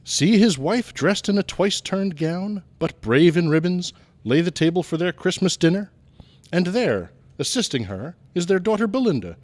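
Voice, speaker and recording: Jolly giant ghost in a radio play, highish swooping voice, grand and commanding. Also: male voice, darkish tone. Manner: grand, declamatory. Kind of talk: old-time radio drama acting.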